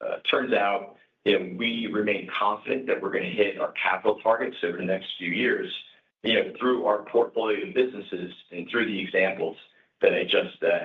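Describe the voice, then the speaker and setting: A man speaking as a company executive, answering questions on an earnings call in a halting way peppered with "you know". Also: sits low. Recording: echoey, distant, phone-like conference call.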